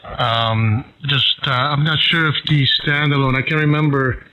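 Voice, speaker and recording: A man's voice: medium pitch, a little slow. A man in casual, hesitant conversation as a ham radio operator, heard over a radio transmission. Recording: dull radio link with faint noise.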